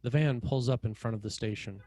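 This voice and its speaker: A man's voice: medium pitch, fairly quick, warm tone, no echo. A man reading scene headings and stage directions as the narrator of a screenplay table read.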